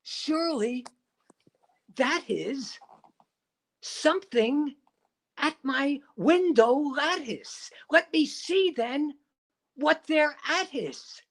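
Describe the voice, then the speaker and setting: A woman playing a haunted, anxious narrator of a gothic poem, halting and theatrically emphatic in a staged reading. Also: sits high, animated, exaggerated voice. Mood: anxious, fearful.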